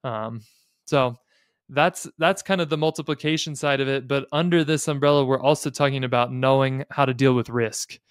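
An American man around his late thirties hosting a podcast, explaining things in a measured, thoughtful way with pauses between phrases, close on a studio mic. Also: medium pitch, fairly even intonation, clear, resonant tone, no room sound. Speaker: podcast host. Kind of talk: explanatory podcast talk on personal finance and faith. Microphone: close studio mic on a boom arm.

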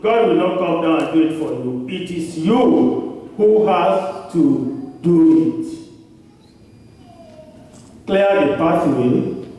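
A man's voice: on the high side, warm tone. A man in his thirties with a Nigerian accent, preaching a sermon at a pulpit into a handheld mic in a church hall. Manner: slow, emphatic preaching with long pauses. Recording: echoey church hall recorded from far off.

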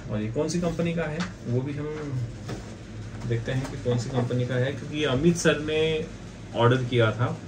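A man in his thirties with an Indian accent, giving a casual unboxing and review in a halting, repetitive explaining manner. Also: on the high side. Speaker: video host reviewing products. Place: small indoor office. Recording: distant mic, clearly noisy room ambience.

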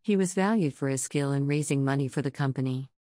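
A woman's voice: very deep voice, fast, warm tone, dry recording, clean studio sound. A woman reading scripted example sentences aloud as language test prompts.

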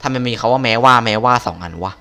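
A man with a mid-pitched voice comments casually on a game. He is blunt and slangy, muttering his asides as he plays. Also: fast talker, pushed and bright, clear, resonant tone, soft background music. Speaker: game streamer. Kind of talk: casual gameplay commentary, reading dialogue aloud.

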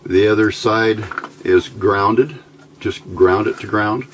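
A man giving an informal hands-on electronics tutorial, thinking aloud in a halting, unscripted way at an indoor workbench. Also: on the low side, steady tone. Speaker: electronics hobbyist demonstrating a homebuilt circuit. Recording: amateur recording with clear background noise.

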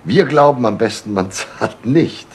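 An actor playing a cautious, evasive company official with formal, measured phrasing in a 1970s radio crime drama. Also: male voice, medium pitch, animated, lightly acted. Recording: old radio recording with faint noise.

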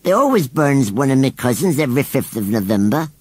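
A man's voice: medium pitch, very animated, a little mellow, slightly rough, no room sound, voice pushed up. A man doing a wheezy, forlorn scarecrow. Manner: wheezy, slow and mournful. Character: shy wheezy male scarecrow, gloomy and forlorn.

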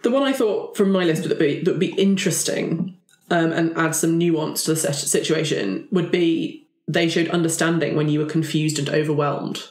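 A man's voice: fairly high pitch, moderate pace. A man chats casually as a player in a tabletop game, working through character ideas. The recording has an echoey room sound.

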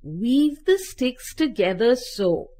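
A woman's voice: a little high, animated, slow pace, warm tone, voice pushed up. A woman voicing a patient, wise female magpie teaching other birds, in a cheerful, instructive way.

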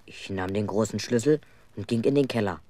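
A man's voice: on the low side, brisk, dark tone, close to an everyday voice. An actor playing an earnest, aggrieved schoolboy who tells his own story in a 1970s German children's radio play. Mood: earnest storytelling.

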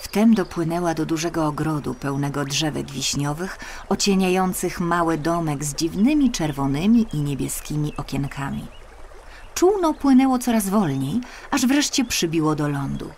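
A woman narrating a scripted children's story in an expressive, careful storytelling manner. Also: sits low, moderate pace, slight background noise. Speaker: audiobook narrator.

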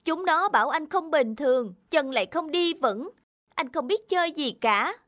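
A woman voicing a bratty, excitable little boy in a cartoon, blunt and petulant. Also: sits high, normal speed, no room sound, clean studio sound. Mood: sulky. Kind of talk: Vietnamese children's cartoon dubbing.

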